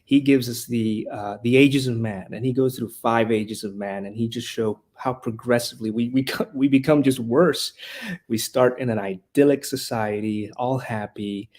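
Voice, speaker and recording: A man's voice: mid-range voice, fairly even intonation, normal speed, darkish tone. A man from Honduras, a guest on a podcast interview who teaches rhetoric and speaks earnestly and with passion, often restarting his phrases. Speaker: guest, classical school leader and rhetoric teacher.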